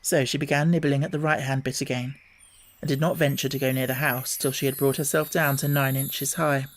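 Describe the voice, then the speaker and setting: A man narrating a classic children's story in a measured reading voice. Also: mid-range voice, level tone. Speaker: audiobook reader.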